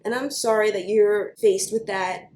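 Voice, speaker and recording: A young woman with a New York accent, ranting to camera for her vlog in an animated, chatty way with plenty of "like". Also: medium pitch, roomy sound, a little hiss or hum. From United States. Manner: animated, fast, chatty with many likes. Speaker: beauty vlogger.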